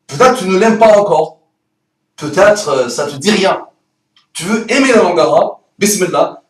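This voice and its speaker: High-voiced man with an Arabic accent. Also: echoey room, far from the mic, poor audio. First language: not French. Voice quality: rolled R. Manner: playful, emphatic, rhetorical questions. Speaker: Arabic teacher. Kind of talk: promotional course pitch.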